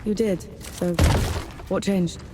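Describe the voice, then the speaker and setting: Casting: vengeful game warrior, high register, blunt and determined. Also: male voice, fairly even intonation, slow, very noisy. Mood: dry, probing. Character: defiant, vengeful warrior out to kill gods.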